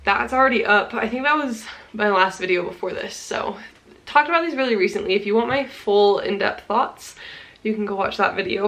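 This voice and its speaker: A woman's voice: medium pitch, fairly bright tone. A young woman with a stuffy nose from a cold, chatting casually about her month's reading at home, with many ums and small laughs. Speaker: book vlogger. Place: home room full of plants.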